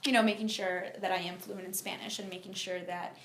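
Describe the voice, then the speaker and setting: A young adult woman from Miami telling her personal story in an interview, speaking informally and haltingly with lots of filler phrases. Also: on the low side, level tone, a bit bright, roomy sound. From United States (Miami, Florida). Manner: informal, halting, frequent "like" and "you know".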